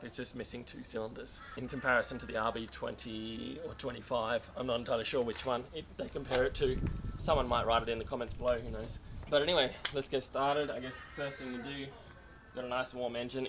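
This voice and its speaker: A young man with a slightly croaky voice, chatting casually as he explains servicing his project car. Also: medium pitch. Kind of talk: casual how-to car vlog. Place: outdoors by a car. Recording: loud outdoor background noise.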